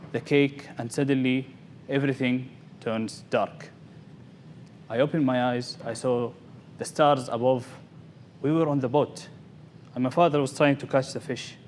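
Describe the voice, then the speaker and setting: A man giving a theatrical storytelling speech to an audience, speaking dramatically in short, deliberate phrases with pauses. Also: medium pitch, darkish tone. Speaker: contest speaker. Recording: noisy live event recording.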